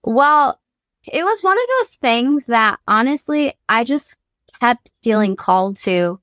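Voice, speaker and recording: A woman's voice: fairly high pitch, expressive, slow pace, a bit bright, no echo, studio quality. A young woman from the United States, a singer-songwriter guest, telling her life story candidly and earnestly, laughing easily as she talks.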